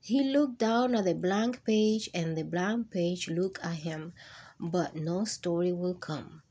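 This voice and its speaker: A woman reading a picture book aloud for children as a storytime host, expressive and pausing to ask the young listeners questions. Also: lowish voice, husky.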